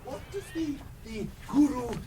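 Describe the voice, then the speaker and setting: An actor playing a timid, fussy gentleman in a radio comedy, stammering and hesitant but polite. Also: extremely high pitch, unhurried, crowd noise. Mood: dithering, eager. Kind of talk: British radio comedy drama acting.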